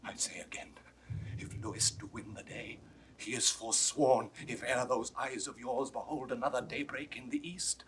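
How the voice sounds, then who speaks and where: Guy acting a dying french count in old shakespeare radio drama, breathy, declaims solemnly, bit of hiss, medium pitch. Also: steady tone, moderate pace, fairly bright tone, lightly acted. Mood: dying, solemn confession. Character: mortally wounded male French count, remorseful and earnest. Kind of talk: 1960s classical Shakespeare audio drama acting. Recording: noisy vintage 1960s studio recording.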